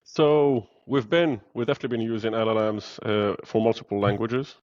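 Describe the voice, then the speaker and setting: A man's voice: fairly low pitch, steady tone, a little fast, darkish tone, no room sound, lo-fi sound. A man originally from Morocco, a podcast guest explaining things earnestly with frequent "uh" fillers. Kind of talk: podcast interview.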